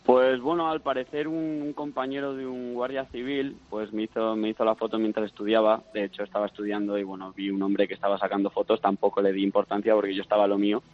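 Low, even-toned young Spanish man on phone. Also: fast, few pauses. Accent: Spanish from Spain, no marked regional accent. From Madrid, Spain. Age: 20s. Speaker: interview guest. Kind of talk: casual phone interview. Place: at home. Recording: band-limited phone call on radio.

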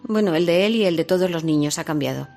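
A woman's voice: lowish voice, quick pace, a little mellow, faint music behind, close to an everyday voice. A woman playing a tender, caring, concerned mother in natural radio drama dialogue. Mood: worried. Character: tender, caring mother who worries about her son.

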